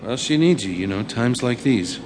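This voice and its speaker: An actor playing an earnest, excitable lab colleague in an audio drama, conversational with a stammering hesitance. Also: male voice, mid-range voice, moderate pace, lightly acted. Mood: awkward sympathy. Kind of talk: live audio drama acting. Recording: live recording with faint ambient noise.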